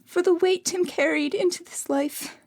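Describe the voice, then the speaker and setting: A woman playing a grieving mother who speaks gently, hiding her tears. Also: very high voice, fairly even intonation, moderate pace, slight background noise. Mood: sorrowful. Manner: gentle, restrained. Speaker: Mrs. Cratchit.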